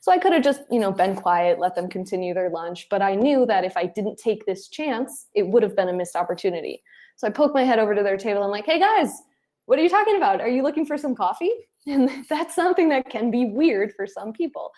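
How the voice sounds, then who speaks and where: A woman speaking as an interview guest, giving advice and telling anecdotes in an animated, conversational way. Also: mid-range voice, fairly quick. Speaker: interview guest, head of client acquisition.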